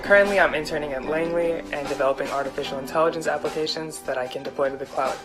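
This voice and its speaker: A young woman from California with a low voice and a quick pace. She gives a casual testimonial as an intern, with music behind her. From California, United States. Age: young adult.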